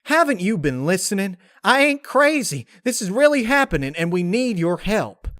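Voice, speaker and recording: A stubborn young farm mare from a dark cartoon-fantasy tale , performed by a man. The pitch is raised well up, and the delivery is earnest, insistent and folksy. The recording is very dry. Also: very animated, normal speed, no echo. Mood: angry, pleading. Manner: insistent, folksy country phrasing.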